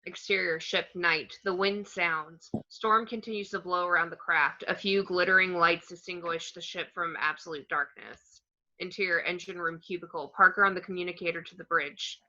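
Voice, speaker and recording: Bright, low-voiced woman reading stage directions. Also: steady tone, moderate pace, highs cut off. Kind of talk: scripted screenplay narration at an amateur table read.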